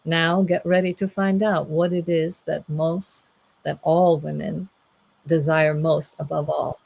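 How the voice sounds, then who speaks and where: A woman storyteller voicing a proud, self-possessed hag bride, deliberate and insistent, in an informal retelling. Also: sits low, level tone, vocal fry, a little mellow, exaggerated voice. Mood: solemn. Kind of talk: informal comic oral storytelling.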